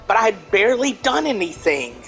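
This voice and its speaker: A man's voice: high-pitched, big pitch swings, a little fast, creaky. A man giving casual let's play commentary in a wry, mock-exasperated way, with game music behind. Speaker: gaming let's player.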